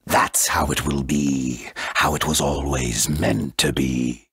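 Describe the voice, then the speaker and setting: A grandiose dark lord from a video game, with an extremely low, husky voice. He speaks slowly and theatrically, with deliberate menace, in a very dry studio sound. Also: fairly even intonation, no room sound, heavily acted. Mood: exultant menace. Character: grandiose dark male villain bent on wiping out the world.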